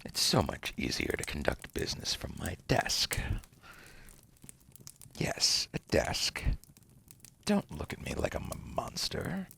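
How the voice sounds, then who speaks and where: An actor playing a suave, condescending demon dealmaker in an ASMR roleplay, in a gravelly, breathy half-whisper with slow, smug, silky delivery. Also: male voice, low-pitched, huge pitch swings, bright, forceful tone, a little hiss or hum, exaggerated voice. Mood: businesslike, sly. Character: seductive, condescending male demon dealmaker, suave otherworldly banker.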